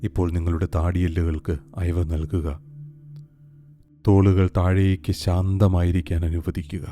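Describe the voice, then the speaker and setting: Low, mellow man guiding meditation. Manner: calm, soothing, unhurried with long pauses. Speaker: guided meditation instructor. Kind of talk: scripted guided meditation narration. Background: soft meditation music with singing bowls.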